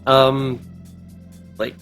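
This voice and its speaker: A man's voice: mid-range voice, level tone, very slow, a bit bright. A man hosting a gaming channel, giving chatty, casual commentary on a game. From Scotland. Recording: faint music behind.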